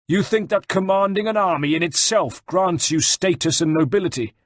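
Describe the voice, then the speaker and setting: A man voicing a gruff, blunt, hot-tempered Italian mercenary general in an audiobook, growling and impatient. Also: fairly high pitch, lively intonation, moderate pace, no echo, clean studio sound, pitched above the performer's own voice. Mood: angry defiance. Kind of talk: dramatised audiobook narration.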